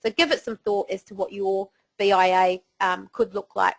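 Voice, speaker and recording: A woman's voice: mid-range voice, steady tone, moderate pace, vocal fry. A middle-aged woman with a New Zealand accent presenting a business webinar, chatty and encouraging with frequent fillers.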